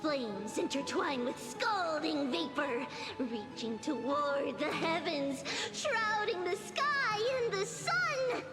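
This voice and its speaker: A woman's voice: high-pitched, very animated, slow, full character voice. A woman voicing an impish, childlike sprite storyteller who narrates grandly and dramatically in a video game. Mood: grandiose. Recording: long reverb, game music behind.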